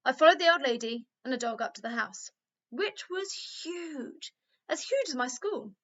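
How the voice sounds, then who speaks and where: A woman teacher reading a children's story aloud in an expressive narrating style. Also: high-pitched, moderate pace, muffled recording.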